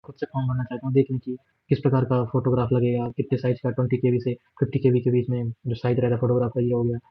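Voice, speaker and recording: A man's voice: mid-range voice, monotone, very fast, breathy edge. A man with an Indian accent walking through a job notification on screen, explaining steadily with fillers and English words mixed in. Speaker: exam guide presenter. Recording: dull lo-fi voice recording.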